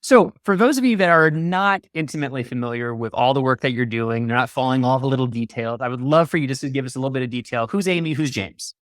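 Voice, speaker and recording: A man with a medium-pitched voice hosts an interview show. He is enthusiastic and wordy, explaining things in full sentences. The sound is dry, with very little room on it. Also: fast, few pauses, a bit bright, dry recording. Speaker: podcast host. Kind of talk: upbeat hosted interview talk.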